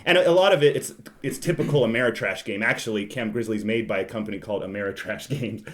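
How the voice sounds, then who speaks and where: Low-voiced man chatting about board games. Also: fast, a bit bright. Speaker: podcast host.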